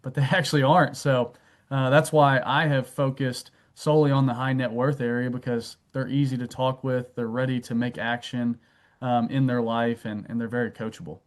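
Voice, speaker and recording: Steady-voiced wealth advisor guest. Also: male voice, mid-range voice, normal speed. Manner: steady, anecdotal, filler "um". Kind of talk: explanatory interview answers.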